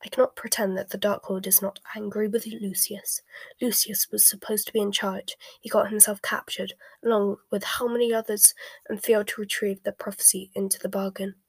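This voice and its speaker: Casting: woman narrator playing grave, curt man, a spy, measured and emotionally closed, slightly husky, storybook. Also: dry recording. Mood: flat, stern. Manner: flat, low, measured. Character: cold, controlled male spy, grave and curt.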